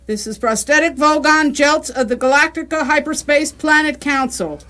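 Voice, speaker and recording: Woman as officious high-voiced alien bureaucrat. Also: steady tone, normal speed, pushed and bright. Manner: official, condescending. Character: officious, impatient alien bureaucrat announcing demolition. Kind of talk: radio comedy drama acting.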